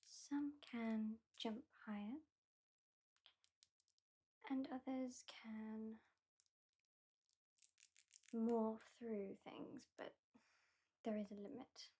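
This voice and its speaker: A woman with a British accent playing an aloof, sardonic vampire hypnotist in a soft, whispery, deliberate ASMR roleplay. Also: fairly high pitch, breathy edge, full character voice, poor audio. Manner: slow, deliberate, lightly dismissive.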